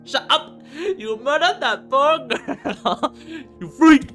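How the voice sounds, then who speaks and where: A man acts out an angry, tormented young game protagonist with a sharp, forceful voice. The manner is dramatic and strained, defiant yet full of dread. Faint music runs underneath. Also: fairly low pitch, fairly even intonation, moderate pace, natural voice. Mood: furious, anguished. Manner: dramatic, strained outbursts and bitter muttering.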